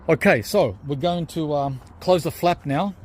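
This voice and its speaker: A man's voice: on the high side, unhurried, darkish tone, a little hiss or hum. A man whose first language is Greek, hosting a gardening vlog outdoors, tired after digging, explaining each step and calling viewers guys. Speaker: gardening channel host. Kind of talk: casual vlog talk to camera.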